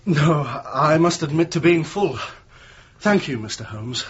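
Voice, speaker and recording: An actor playing an earnest young Victorian engineer shaken by an ordeal, speaking formal, polite, articulate English-accented lines in a radio drama. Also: male voice, fairly high pitch, expressive, a little slow, husky, highs cut off, exaggerated voice. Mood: polite, weary.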